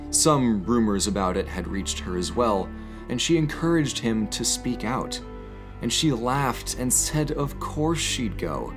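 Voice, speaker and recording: A man hosting and reading poetry, moving between casual commentary and measured, theatrical literary delivery. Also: mid-range voice. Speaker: host of an educational channel.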